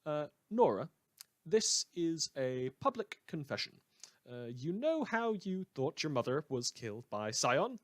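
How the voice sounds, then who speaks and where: A man's voice: a little high, very animated, measured pace, no room sound, clean studio sound. A man playing a villainous, anarchic radio host who tells stories in a theatrical, dramatic scripted monologue. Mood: sheepish. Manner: theatrical, storytelling with dramatic emphasis.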